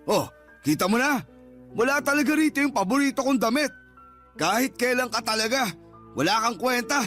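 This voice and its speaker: A man's voice: very high-pitched, expressive, moderate pace, fairly bright tone, faint music behind, full character voice. An actor playing a scornful, argumentative middle-aged father who scolds and insults his family in a radio drama. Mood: irritated. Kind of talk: Tagalog radio drama acting.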